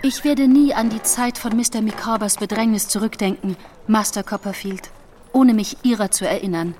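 Woman playing a genteel, soft-toned devoted wife. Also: medium pitch, moderate pace, a little hiss or hum, close to an everyday voice. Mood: tender farewell. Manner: formal, dignified, sentimental. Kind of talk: radio drama acting.

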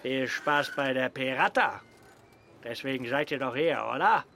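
An actor playing a gruff, bossy but good-natured pirate harbour master in a children's radio play. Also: male voice, medium pitch, lively intonation, unhurried, bright, forceful tone, a little hiss or hum. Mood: jovial. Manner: bossy, teasing, theatrical.

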